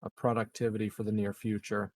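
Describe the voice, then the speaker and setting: A man playing a tabletop game, casually proposing strategy in measured, somewhat hesitant phrases. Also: fairly low pitch, level tone, slight background noise. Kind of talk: casual game strategy discussion, half in role.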